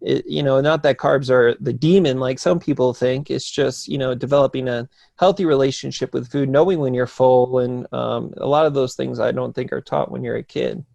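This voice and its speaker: A man's voice: medium pitch, steady tone, normal speed, gravelly, hardly any pauses, a little mellow, no echo. A man from New Jersey, a nutrition coach talking casually as a podcast guest, easygoing and full of fillers. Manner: easygoing, loose with fillers and restarts. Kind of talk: casual conversation.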